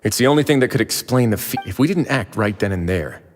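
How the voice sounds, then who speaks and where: Low-voiced, composed nobleman played by a man. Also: expressive, long reverb tail, a little hiss or hum, close to an everyday voice. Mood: earnest, composed. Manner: measured, formal, polite. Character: composed, courteous nobleman investigator, calm and authoritative. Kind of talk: video game voice acting.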